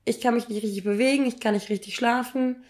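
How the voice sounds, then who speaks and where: Hoarse, higher-voiced woman in her thirties. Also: fairly even intonation, quick pace, darkish tone. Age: 30s. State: hoarse, ill. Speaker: podcast co-host. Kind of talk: casual personal storytelling.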